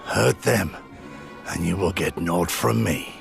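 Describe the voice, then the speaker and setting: A man playing a grim, hardened, terse fighter in a video game, with a gravelly voice. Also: low-pitched, slow pace, faint music behind, full character voice. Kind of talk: video game dramatic acting.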